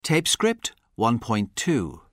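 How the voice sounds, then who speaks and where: A man narrating a language course, announcing track numbers in a formal, measured way. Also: mid-range voice, wildly swooping pitch, a bit bright. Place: dry studio.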